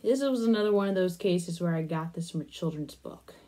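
A woman's voice: lowish voice, expressive, fairly quick, mellow. A young adult woman chatting casually through a craft demonstration, absorbed and half talking to herself. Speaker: crafting vlogger. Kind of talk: casual crochet demonstration chat. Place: small attic room, indoors.

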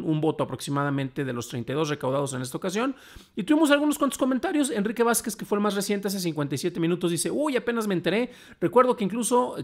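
A Mexican man with a high-pitched voice hosts a casual live show. He sounds about thirty-something, commenting in a colloquial, somewhat halting way. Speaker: host. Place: small home room, indoors.

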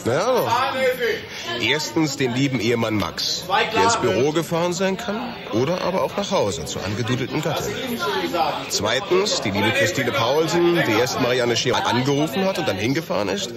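Wry, animated investigator amid crowd. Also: male voice, medium pitch, normal speed. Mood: wry, musing. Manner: casual, ironic. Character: chatty, wry male police investigator. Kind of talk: German radio drama acting. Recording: loud crowd babble around the voice.

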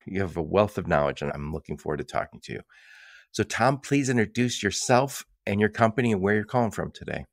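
A man's voice: lowish voice, lively intonation, creaky, no echo, studio quality. A man hosting a podcast, reading an upbeat intro and then interviewing with enthusiasm. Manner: warm enthusiastic delivery, slow emphatic intro. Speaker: podcast host.

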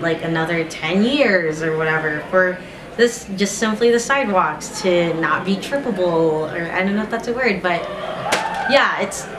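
A man's voice: high-pitched, background noise. Young man in an echoey hallway. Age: young adult. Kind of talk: casual interview answer. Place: large indoor corridor.

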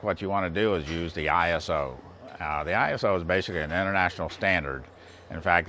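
A man in his sixties with an American accent and a lowish voice walks through a technical procedure step by step. His manner is plain-spoken and a little folksy, like an instructor at a demonstration. There is faint workshop noise behind him. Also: fairly bright tone. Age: 60s. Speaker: instructor demonstrating engine balancing equipment. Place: large indoor machine shop. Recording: clear background noise, workshop ambience.

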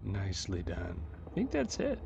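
A man giving casual commentary on his own gameplay, muttering and thinking aloud with halting delivery and mild folksy exclamations. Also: low-pitched, huge pitch swings, creaky. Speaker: gamer narrating own playthrough. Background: video game music.

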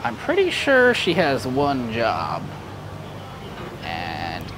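A man in his thirties chatting casually and haltingly on an outdoor travel livestream, speaking into a clip-on mic. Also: mid-range voice, huge pitch swings. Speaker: travel live streamer. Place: outdoors at a covered boat pier. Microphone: clip-on wireless mic on shirt. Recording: loud outdoor urban background noise.

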